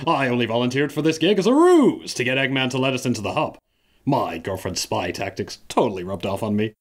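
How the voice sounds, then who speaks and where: A man's voice: mid-range voice, big pitch swings, fairly quick. A self-important male echidna who talks theatrically and crowns himself leader, played by a man. His voice swings widely, giving every line a grand, showy lilt. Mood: pompous. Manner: theatrical, pompous, melodramatic. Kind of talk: fan audio drama acting.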